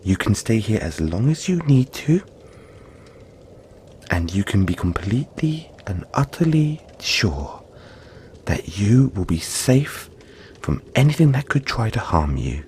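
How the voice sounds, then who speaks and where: A man voicing a gentle, cuddly, long-lived yeti in an intimate audio roleplay, speaking reassuringly with breathy, dramatic pauses. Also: lowish voice, sing-song, slight background noise. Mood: reassuring, earnest.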